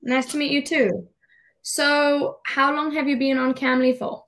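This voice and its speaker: A woman's voice: sits high, level tone, measured pace, fairly bright tone, rough recording. A young woman with a South African accent, an online English tutor asking simple questions in a casual video call. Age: young adult. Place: indoors in a room, on a video call. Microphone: webcam video call.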